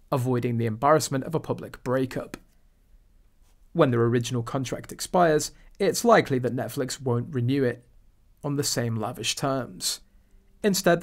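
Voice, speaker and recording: A man at a middle pitch narrates a scripted gossip voice-over. His delivery is snide and mocking throughout, leaning into sarcastic asides.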